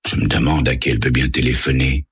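A man playing a calm, husky private detective. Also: rumbling low, voice pushed down, full character voice. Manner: measured, courteous. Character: composed male private detective, calm and polite.

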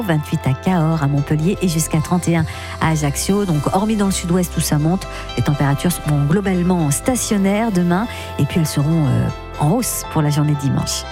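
A woman presenting the weather forecast on the radio, in a brisk, informative way, running through places and temperatures. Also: fairly low pitch. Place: radio studio.

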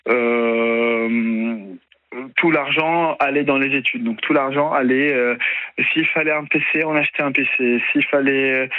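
A young man from Italy with no accent, speaking haltingly as a radio interview guest, full of fillers and restarts. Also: medium pitch, dry recording. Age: young adult. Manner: hesitant, many fillers and restarts. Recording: dry tinny phone-like radio sound.

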